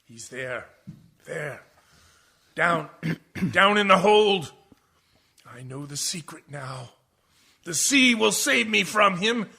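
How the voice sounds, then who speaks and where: An actor in a radio horror play playing a terrified ship's mate driven mad, raving between hushed dread and screams. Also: male voice, high voice, expressive, slow pace, gravelly, pauses often, slightly rough, full character voice. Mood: despairing, crazed.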